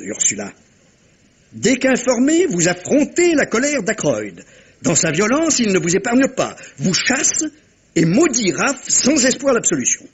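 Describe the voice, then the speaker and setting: An actor playing a shrewd, self-assured middle-aged detective in a French radio mystery, commanding and theatrical, speaking with deliberate dramatic pauses. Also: male voice, fairly high pitch, slightly rough. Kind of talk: 1950s-style French radio mystery acting.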